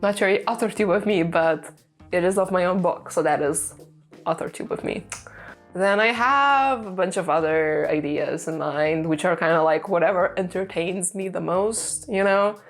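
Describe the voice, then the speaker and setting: A woman's voice: lowish voice, moderate pace, faint music behind. A young woman whose first language is Lithuanian, chatting casually and laughing often as she answers a run of tag questions, with lots of "you know" fillers. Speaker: vlogger, aspiring author. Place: room with a creaky chair. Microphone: desk mic.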